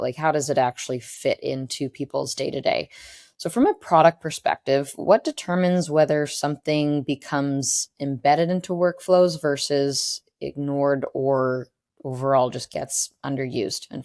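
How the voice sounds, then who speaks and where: A woman's voice: very deep voice, a little mellow, no echo, studio quality. A woman hosting a podcast interview, talking casually with filler words. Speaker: podcast host. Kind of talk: conversational interview.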